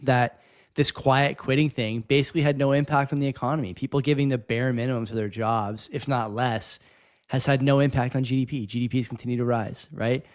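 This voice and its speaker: A man's voice: mid-range voice, steady tone, a little fast. A man co-hosting a podcast, giving rambling, opinionated commentary in a conversational style. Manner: rambling, opinionated, frequent "you know". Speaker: podcast co-host.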